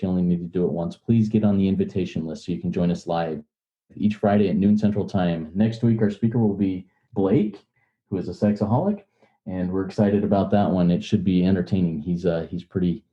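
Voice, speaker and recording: Man, low voice, meeting host, announcements and recitation, dull muffled recording. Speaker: podcast host. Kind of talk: hosting a recovery meeting, announcements and prayer.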